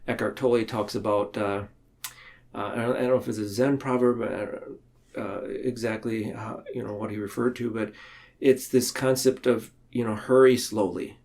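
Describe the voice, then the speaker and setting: A man talking reflectively and explaining ideas in a podcast discussion, haltingly, often circling back to rephrase. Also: lowish voice, fairly even intonation, creaky, a little mellow.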